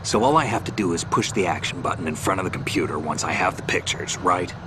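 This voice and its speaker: An actor playing a gruff, terse special-ops soldier with a deep gravelly voice in a game cutscene. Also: male voice, fast, noisy background, natural voice. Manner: terse, blunt.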